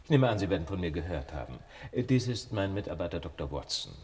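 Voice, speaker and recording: Composed detective, lowish voice, lively pitch movement, courteous, probing, dry irony, radio drama. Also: male voice. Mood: formal, probing. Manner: measured, polite, probing. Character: shrewd, composed, drily ironic male detective. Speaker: actor in a radio play. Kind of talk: German radio drama acting.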